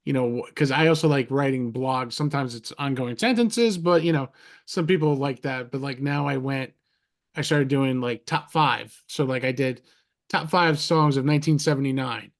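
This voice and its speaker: A man hosting a podcast over a video call, rambling warmly, restarting his sentences and heaping compliments on his guests. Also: medium pitch, moderate pace. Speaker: podcast host. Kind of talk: casual interview chat.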